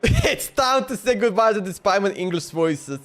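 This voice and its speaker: A man's voice: high-pitched, expressive, moderate pace, a bit bright. A man livestreaming a game, chatting casually and rambling as he thinks aloud and repeats himself. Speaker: game streamer.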